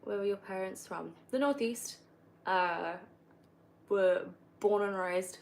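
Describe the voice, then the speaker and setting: A young woman from northeast England with a soft northeastern accent, chatting casually on a livestream while gaming, rambling with many fillers and self-corrections. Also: mid-range voice, takes it slowly, creaky. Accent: northeast English, softened. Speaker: livestreamer answering viewer questions. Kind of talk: casual live-stream chat while gaming.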